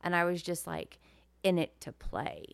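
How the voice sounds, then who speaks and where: Animated self-deprecating woman podcast host. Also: on the low side, a little slow, gravelly, no room sound. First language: Greek. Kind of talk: casual chat.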